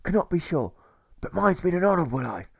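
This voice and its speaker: A man voicing a gruff, volatile old sailor turned jailer in an audiobook, with a stage pirate accent and blustering, exclamatory delivery. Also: high voice, big pitch swings, quick pace, no room sound, studio quality, pitched above the performer's own voice, heavily acted.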